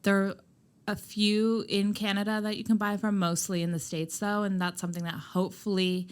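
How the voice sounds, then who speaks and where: A woman who founded a skincare brand, talking loud and emphatic as a guest in a casual interview. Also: mid-range voice, flat delivery, moderate pace, clear, resonant tone. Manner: loud, emphatic, laughs easily. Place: Nova Scotia, Canada.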